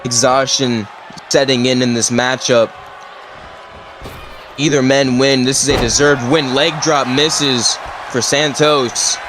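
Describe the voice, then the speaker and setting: A man giving wrestling color commentary, moving between steady analysis and shouted disbelief. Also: mid-range voice, takes it slowly. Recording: loud crowd and noise behind.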